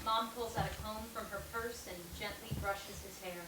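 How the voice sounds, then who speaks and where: A woman's voice: medium pitch, fairly even intonation, moderate pace, background noise. A woman reading stage directions as scripted narration. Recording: echoey, distant, loud music behind.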